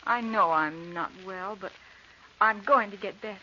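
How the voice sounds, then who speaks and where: A gentle, sickly wife in old radio melodrama. She speaks tenderly in a clear-edged, bright voice of medium pitch, through a dull, noisy recording. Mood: tender, worried. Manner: soft, tender, slow. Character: gentle, ailing devoted young wife. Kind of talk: 1940s radio melodrama acting.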